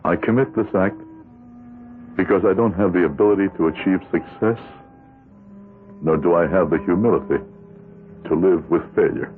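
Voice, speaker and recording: An actor in a 1970s radio drama playing a despairing, hesitant married accountant deep in gambling debt. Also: male voice, phone-quality sound. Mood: resigned despair. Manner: hesitant, halting, anguished. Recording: band-limited old radio broadcast, music behind.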